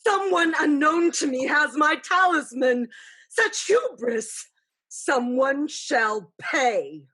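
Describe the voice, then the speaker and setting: A woman playing an imperious, outraged dark sorceress in a staged play reading, with a grand, theatrical delivery. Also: high voice, very animated, slow, full character voice. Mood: imperious outrage.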